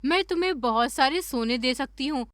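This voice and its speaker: Woman voicing a high, bright, cunning golden lizard. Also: animated, normal speed, dry recording, clean studio sound. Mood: sly, taunting. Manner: taunting, boastful, theatrical. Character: cunning, menacing magical golden lizard, female. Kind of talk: Hindi cartoon story dubbing.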